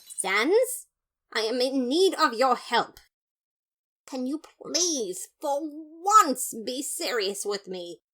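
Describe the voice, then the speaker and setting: A woman's voice: high voice, lively intonation, drawn-out words, a bit bright, pitched above the performer's own voice, exaggerated voice. Woman playing an indignant, pompous skeleton brother. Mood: pleading, earnest. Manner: formal, indignant, emphatic. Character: exasperated, pompous, upright skeleton younger brother.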